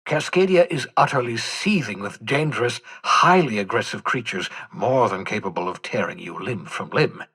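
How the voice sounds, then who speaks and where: An actor playing an eccentric, vain older mad scientist in a video game, with a husky voice and a theatrical, erudite, rambling manner. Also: male voice, mid-range voice, lively intonation, moderate pace, few pauses, fairly bright tone. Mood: brisk, wry. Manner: theatrical, erudite, rambling, swinging from mutters to exclamations. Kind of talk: video game character dialogue.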